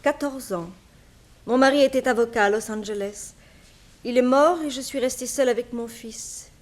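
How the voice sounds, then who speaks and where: An actress playing a reserved middle-aged widow living on a remote ranch, polite and guarded, in 1960s French radio serial style. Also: female voice, fairly high pitch, moderate pace, natural voice. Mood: sombre, resigned.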